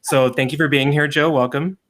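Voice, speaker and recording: A man hosting a casual livestream over a video call, relaxed and chatty, with frequent ums and laughs. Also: fairly high pitch, brisk. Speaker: livestream host and sports writer.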